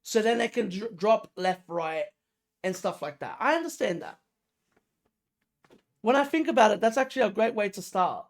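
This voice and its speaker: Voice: mid-range voice, animated, moderate pace, pauses often. A reaction video host giving casual, slangy music commentary, emphatic and repetitive, often throwing out rhetorical questions. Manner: informal, slangy.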